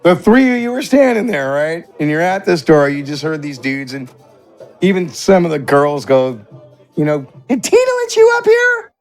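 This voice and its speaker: A man serves as game master, improvising descriptions for players. His voice is fairly high and very animated in pitch, with faint music underneath. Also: normal speed. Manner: conversational, descriptive. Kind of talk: improvised tabletop game narration.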